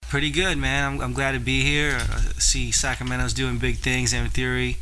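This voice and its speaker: A man's voice: mid-range voice, level tone, moderate pace, sharp tone, slight background noise, poor audio. A young man born in the Philippines, a rapper and producer, talking casually in an interview with a laid-back, rambling manner and lots of fillers.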